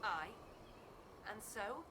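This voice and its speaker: An actress playing a prickly, guarded exiled apprentice mage in a video game, agitated and using archaic phrasing. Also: female voice, mid-range voice, expressive, extremely slow, background noise. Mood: defensive, indignant.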